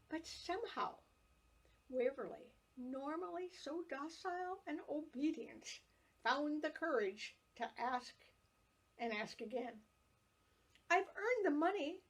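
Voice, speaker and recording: Slow, unhurried woman storyteller. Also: a little high, husky, poor audio. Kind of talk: reading a family memoir aloud.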